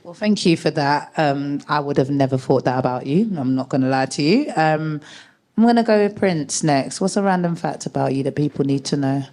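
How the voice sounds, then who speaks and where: A woman's voice: sits low, a little fast, dark tone. A woman hosting a live panel show, upbeat and enthusiastic as she introduces the panelists. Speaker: host. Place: live event with audience.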